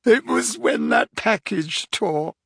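Storybook teen girl in tears, high and trembling, read by a man. Dry, close sound. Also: huge pitch swings, slow pace, gravelly, a bit bright, breathy edge, dry recording, exaggerated voice. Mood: tearful. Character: sobbing frightened teenage schoolgirl.

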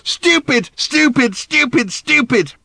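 A sulky, explosive teenage girl screams and rages in a high, strained voice, as a male narrator puts her on. Her outbursts are petulant and shrill, and they come through bright and close with no room around them. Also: expressive, normal speed, no room sound. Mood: furious.

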